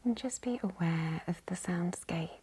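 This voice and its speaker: A woman leading a guided yoga nidra meditation in a soft, whispery, breathy voice, calm and gentle. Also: lowish voice, a little slow. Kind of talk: guided meditation, slow hushed instruction.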